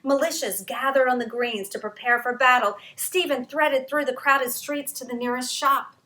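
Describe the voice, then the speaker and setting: A woman reads a children's history story aloud with dramatic pauses and lively emphasis. Her voice is bright and a touch higher than most. The room around her rings with echo. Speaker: author reading her book aloud. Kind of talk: expressive storytime read-aloud.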